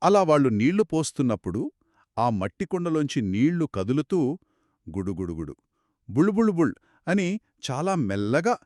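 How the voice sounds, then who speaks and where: A man telling a children's story in a conversational, expressive and explanatory way, as one of two narrators. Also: on the high side, normal speed, long pauses, no echo, studio quality. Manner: expressive, explanatory, acts out characters' quoted lines.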